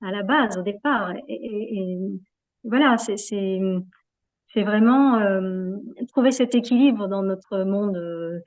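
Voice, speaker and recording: A woman's voice: mid-range voice, slow pace, darkish tone, lo-fi sound. A woman being interviewed in a reflective, conversational way, hesitating often as she searches for her words. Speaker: interview guest, yoga practitioner.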